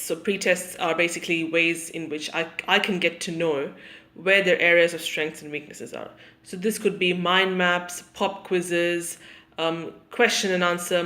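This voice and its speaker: A woman, introducing herself as a teacher in a measured way, often pausing on "um" and listing things. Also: on the low side, a bit bright. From Australia. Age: 30s. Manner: measured, with frequent ums and listing. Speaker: English teacher. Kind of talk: self-introduction to camera.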